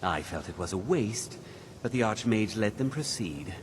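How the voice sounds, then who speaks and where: Fantasy game wizard, older man, acted, measured and faintly disdainful, low and expressive, slight rumble behind. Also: lightly acted. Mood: recollecting, disapproving. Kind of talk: video game dialogue.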